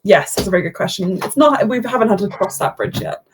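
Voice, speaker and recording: A young woman running a tabletop game as game master, chatty and quick to laugh, often breaking off mid-sentence. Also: mid-range voice, animated, background noise.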